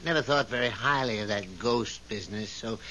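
A male God for seventies radio drama. He is mild and unhurried, musing hesitantly and politely dodging answers. The old recording carries a light hiss. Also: fairly low pitch, dull audio. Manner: musing, hesitant, gently evasive. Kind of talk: 1970s radio drama acting.

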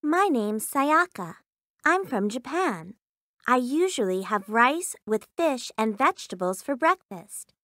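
A woman's voice: on the high side, sing-song, measured pace, fairly bright tone, no room sound, studio quality. A woman voicing a cheerful young schoolgirl, reading scripted textbook lines with careful, simple enunciation. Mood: pleasant, informative. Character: cheerful young Japanese schoolgirl describing meals.

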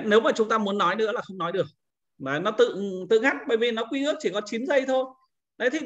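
A man's voice: high voice, a bit bright, highs cut off. A man giving an informal screen-recorded tutorial as an instructor, explaining things step by step and often repeating himself.

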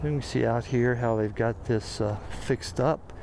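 An American man with a gravelly voice of ordinary pitch narrates casually as he walks. He thinks aloud, pausing often and filling gaps with small hesitations. His camera mic outdoors picks up clear street and traffic noise. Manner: relaxed, thinking aloud with frequent pauses and fillers. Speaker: videographer on a walking site tour. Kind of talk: casual walking commentary. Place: outdoor construction site in a city plaza. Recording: outdoor camera audio with noticeable background noise.

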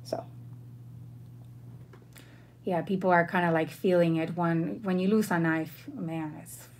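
A woman's voice: lowish voice, steady tone, measured pace, darkish tone. A woman in her thirties from Argentina hosting a casual livestream, chatting informally and in a friendly way with her viewers. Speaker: livestream host. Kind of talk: casual livestream chat, answering viewer questions. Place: bedroom at home, indoors.

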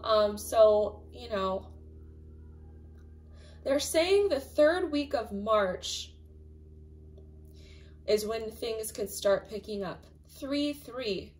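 A slightly raspy woman's voice, pitched a bit high, talking casually as she hosts a tarot reading. She rambles with lots of "um" and "you know" and punches her points with emphatic asides. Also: expressive, very slow, pauses often. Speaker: tarot reader and channel host.

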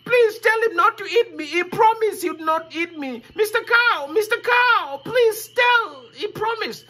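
A man's voice: very high voice, expressive, unhurried, pushed and bright, voice pushed up, exaggerated voice. A man storyteller voicing a frightened young boy who desperately pleads not to be eaten. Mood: pleading.

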